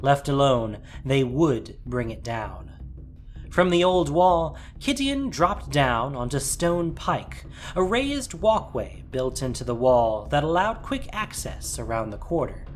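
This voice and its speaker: A man's voice: on the high side, very animated, unhurried. A man reading a scripted, dramatic story as its narrator. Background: music.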